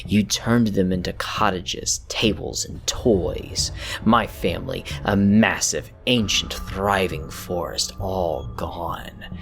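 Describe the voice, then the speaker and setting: A man's voice: sits low, slow pace, vocal fry, fairly bright tone, faint music behind, heavily acted. A man voicing a bitter, vengeful living marionette in a horror story. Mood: cold, vengeful. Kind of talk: horror story character acting.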